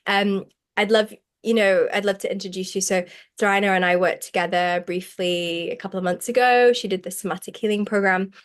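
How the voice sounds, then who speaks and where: A woman's voice: medium pitch, fairly even intonation, brisk, clear, resonant tone. Chatty woman hosting a video call. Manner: chatty, rambling with many likes. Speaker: program host, facilitator. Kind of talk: casual video call chat.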